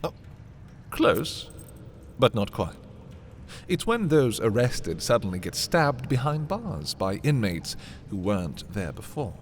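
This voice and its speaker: An actor playing a haughty, menacing prince taunting a captive thief in a dark fantasy audio roleplay, theatrical and condescending with dramatic pauses. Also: male voice, mid-range voice, sing-song, a little hiss or hum. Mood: coolly taunting.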